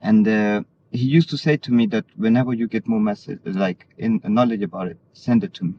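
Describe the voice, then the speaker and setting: A man's voice: on the low side, steady tone, brisk. A man born in Denmark, earnestly explaining his beliefs in a casual conversation, often restarting his sentences. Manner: earnest, hesitant with many restarts. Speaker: interviewee. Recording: slightly dull recording with faint noise.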